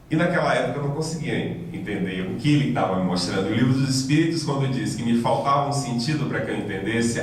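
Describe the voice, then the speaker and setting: A man's voice: fairly low pitch, recorded from a distance, a little hiss or hum. Man lecturing in an echoey hall. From Salvador, Bahia, Brazil. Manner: reflective, storytelling, pauses and restarts. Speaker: guest lecturer at a spiritist center. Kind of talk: personal testimony lecture. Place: lecture room of a spiritist center.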